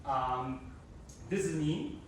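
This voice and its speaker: A man's voice: a little high, lively intonation, drawn-out words. A man in his thirties from Hong Kong, giving a conversational public talk to students and speaking to them directly. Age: 30s. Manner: conversational, addressing the audience directly. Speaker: guest speaker. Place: lecture room. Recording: roomy, distant mic with background noise.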